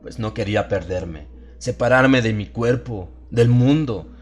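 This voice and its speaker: A man narrating a dramatized horror audiobook in an ominous, theatrical, measured way, drawing out his phrases. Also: mid-range voice, a little mellow. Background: atmospheric music bed.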